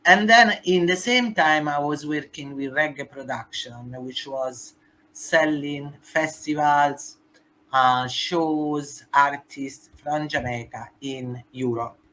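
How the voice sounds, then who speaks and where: A woman's voice: low voice, slow pace, fairly bright tone, poor audio. A woman from Italy with an Italian accent, speaking English as a second language with emphatic, non-native phrasing as a guest in a podcast interview. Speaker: guest, human rights activist.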